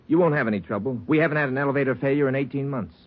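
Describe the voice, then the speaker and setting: An actor playing a helpful, plain-spoken elevator operator who explains things step by step in a 1940s radio drama. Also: male voice, mid-range voice, rapid-fire, close to an everyday voice. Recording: muffled old radio recording.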